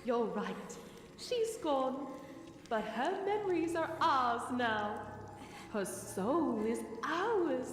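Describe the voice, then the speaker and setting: A woman's voice: high-pitched, big pitch swings, very slow, heavily acted. A woman voicing a gloating parasitic monster in an audio drama, theatrical and savoring its cruelty. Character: gloating parasitic monster wearing a dead pony's body. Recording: echoing, long reverb over music.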